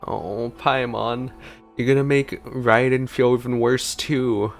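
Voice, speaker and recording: A man's voice: mid-range voice, little pitch movement, slow pace, faint music behind. A man giving casual gameplay reaction commentary, conversational and a little hesitant in his delivery. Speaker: gaming video host.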